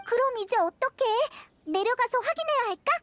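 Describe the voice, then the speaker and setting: A woman voicing a tiny, excitable, childlike fairy companion in a fantasy game, with a squeaky, wide-eyed, exclamatory delivery. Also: a little fast. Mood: curious. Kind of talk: anime-style game voice acting. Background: game music.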